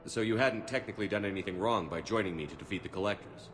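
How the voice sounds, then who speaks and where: An actor playing a decisive male military commander in a video game cutscene, speaking in brisk, direct orders. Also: fairly low pitch, long reverb tail, faint music behind, lightly acted. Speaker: ship's commander.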